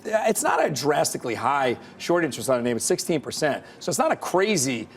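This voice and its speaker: Man, American accent, finance panelist, emphatic, opinionated, higher pitch, slight background noise. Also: very animated, fairly quick. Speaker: trader panelist on finance show.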